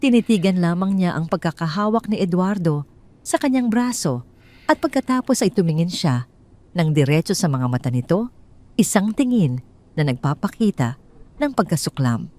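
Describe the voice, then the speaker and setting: A woman's voice: lowish voice, lively intonation, normal speed, mellow. A woman narrating a scripted story in a dramatic storytelling style.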